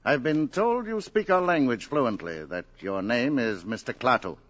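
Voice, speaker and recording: An actor playing a polite, hesitant male government secretary in a 1950s radio drama, often pausing with "uh". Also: medium pitch, expressive, lo-fi sound, lightly acted. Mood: uneasy. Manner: polite, hesitant, with many uhs.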